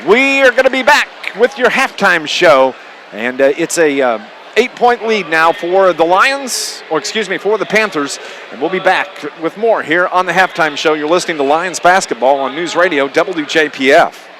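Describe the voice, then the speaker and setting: An American man calling high school basketball live on radio in a conversational way, from a gymnasium. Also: lively intonation, normal speed, sharp tone, a little hiss or hum. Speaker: radio play-by-play sports announcer.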